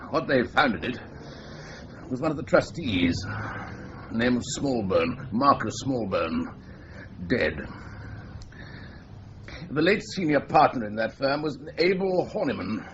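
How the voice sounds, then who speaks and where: An actor playing a senior police officer briefing an inspector, in a clipped, brisk radio drama manner. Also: male voice, mid-range voice, sing-song, background noise. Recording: dull lo-fi radio recording.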